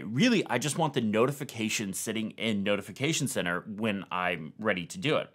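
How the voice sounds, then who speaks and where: Man with lively, varied pitch. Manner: casual, step-by-step explaining. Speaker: tech video host. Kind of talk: explanatory tutorial narration to camera.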